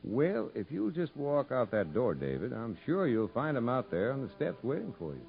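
An actor playing an earnest, fatherly prison captain in a 1950s radio drama, telling a story in a measured, emphatic way. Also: mid-range voice, huge pitch swings, vocal fry, soft background music. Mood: earnest, reflective. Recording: muffled vintage 1950s radio recording.

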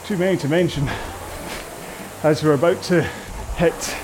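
A man's voice: fairly high pitch, steady tone, unhurried, noisy background. A man coaching a rowing workout in a casual, rambling, jokey and conversational way. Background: music.

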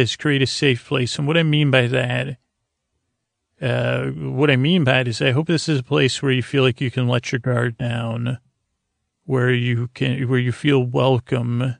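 A man with a creaky voice hosting a bedtime podcast in a soothing, meandering, hesitant monologue full of stammers and tangents. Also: mid-range voice, little pitch movement, normal speed, no room sound. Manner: soothing, lulling delivery. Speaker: podcast host.

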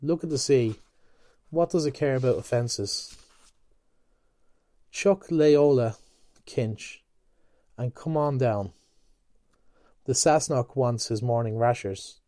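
A man's voice: mid-range voice, slow, pauses often, mellow, no room sound, studio quality, lightly acted. A man voicing a brash, mocking young male medical student in a flippant, teasing manner.

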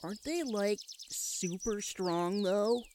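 A woman's voice: medium pitch, takes it slowly, noisy background, lightly acted. A woman playing a young, agreeable, slightly dim henchman in a comic audio drama, slangy and hesitant. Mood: timid doubt. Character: young, dim, agreeable male sidekick henchman, timidly questioning.

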